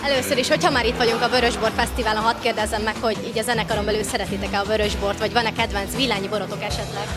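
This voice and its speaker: A woman's voice: medium pitch, fairly even intonation. A woman in her twenties asking casual interview questions on location. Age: 20s. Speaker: student magazine interviewer. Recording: loud music behind, outdoor festival. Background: loud music, vehicle noise.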